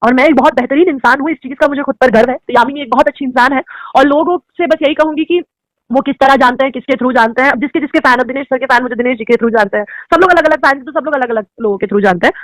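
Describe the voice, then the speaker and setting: A woman's voice: high-pitched, fast, dry recording, poor audio. A young woman, an actress, answering interview questions in an outspoken, emphatic way and slipping into English phrases.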